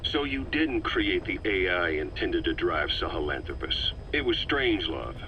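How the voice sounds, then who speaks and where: Hard-edged interrogator for a game, deep voice, cynical and pressing, slightly muffled with faint noise. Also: male voice, very animated, moderate pace. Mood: cold accusation. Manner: pointed, sardonic, pressing. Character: hard, cynical male military interrogator, coldly accusing. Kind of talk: video game cutscene acting.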